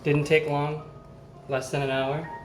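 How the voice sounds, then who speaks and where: A man's voice: on the high side, little pitch movement, a little slow, heavy background noise. A man casually chatting on a livestream from his home kitchen, with a playful, teasing, joking manner. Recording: echoey room, music behind.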